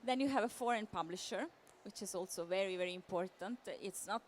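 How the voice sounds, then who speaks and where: A woman giving a semi-improvised conference presentation as a literary translator, halting and often repeating words as she searches for phrasing. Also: mid-range voice. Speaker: literary translator, panel speaker. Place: live talk at a book fair event. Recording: clear background noise.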